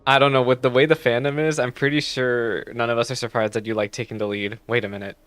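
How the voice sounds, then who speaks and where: A man's voice: mid-range voice, flat delivery, quick pace, a bit bright. A man giving casual, teasing live reactions as a game streamer, chatty and joking.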